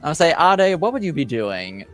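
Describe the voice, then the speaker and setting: Casual, halting man running a game. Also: a little high, sing-song. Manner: halting, thinking aloud. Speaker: game master. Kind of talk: improvised tabletop game narration, casual. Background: music.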